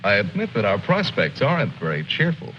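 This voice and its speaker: An actor playing a composed army lieutenant in an old war film, articulate, measured and wry. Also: male voice, fairly low pitch, wildly swooping pitch. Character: composed, civil army lieutenant, wryly keeping his men in order. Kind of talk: 1950s war film acting. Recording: noisy, dull old film soundtrack.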